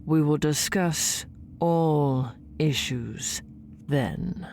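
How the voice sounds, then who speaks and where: A woman playing a stern vampire leader in an audio drama, speaking with formal, measured authority. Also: deep voice, gravelly, darkish tone, slight background noise, exaggerated voice. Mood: measured, firm.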